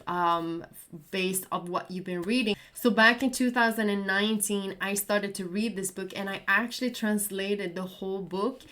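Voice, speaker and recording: A woman's voice: mid-range voice, steady tone, normal speed, a bit bright. A woman with a Swedish accent, Swedish being her first language, talking casually and earnestly to camera as a trading vlogger, encouraging and repeating herself. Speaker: crypto trading YouTuber. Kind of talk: casual recommendation talk to camera.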